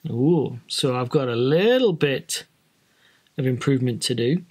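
A man's voice: medium pitch, animated, a little slow, darkish tone, husky. A British man giving an informal, explanatory walkthrough as a flight training presenter in a casual tutorial style. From Britain.

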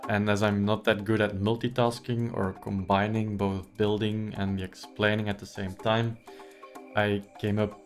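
A man with a Belgian accent, not a native English speaker, talking through a screen-recorded software tutorial with careful, hesitant explanations. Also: on the low side, level tone, moderate pace, faint music behind. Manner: careful, hesitant explaining with many ums and uhs. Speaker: tutorial host.

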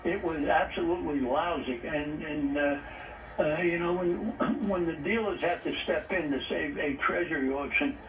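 A man commenting on a Treasury auction in a phone interview on financial news, halting and filler-heavy. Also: a little high, poor audio.

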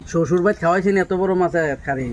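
Fast, joking young man at an outdoor meal. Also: high voice, a little hiss or hum. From Bangladesh. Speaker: guest at the meal. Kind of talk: casual chat over a meal. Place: outdoor village yard, eating on a mat.

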